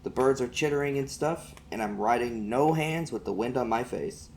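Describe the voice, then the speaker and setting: A man with a medium-pitched voice reads a story aloud as an amateur narrator. The telling is first-person and folksy, recorded with a little noise underneath. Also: normal speed, creaky, a little mellow. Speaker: amateur audiobook reader.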